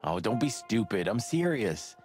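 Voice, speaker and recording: An actor playing a teasing, wisecracking detective sidekick in a noir video game, playful and theatrical. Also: male voice, lowish voice, very animated, normal speed, a little mellow. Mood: insistent. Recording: faint music behind the voice.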